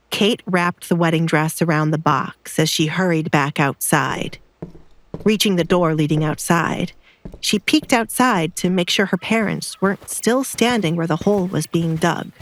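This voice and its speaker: A woman's voice: on the low side, dry recording. A woman giving scripted, dramatic third-person narration of a story.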